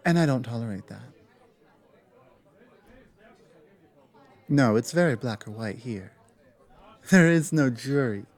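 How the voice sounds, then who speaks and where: Man playing a slow, stern minotaur bouncer. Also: mid-range voice, a little mellow, natural voice. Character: huge, stern minotaur tavern security guard, confident and teasing. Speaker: audio roleplay performer. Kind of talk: ASMR roleplay, in-character monologue.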